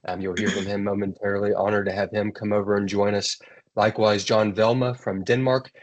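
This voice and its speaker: An American man hosting an online webinar, introducing the event and speakers in a relaxed, rambling way. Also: on the low side, a little fast, lo-fi sound. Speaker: webinar host.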